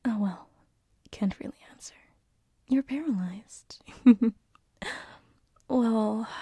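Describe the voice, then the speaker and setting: A woman playing a shy, affectionate female demon in an ASMR roleplay, speaking softly and hesitantly, often whispering, with a breathy voice. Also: mid-range voice, no room sound, studio quality, exaggerated voice.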